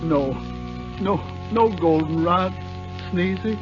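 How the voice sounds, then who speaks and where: High-voiced, earnest elderly dwarf. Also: male voice, takes it slowly. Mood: mournful. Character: earnest, kindly elderly dwarf leader with faltering speech. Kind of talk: old-time radio drama acting. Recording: muffled vintage radio recording with music.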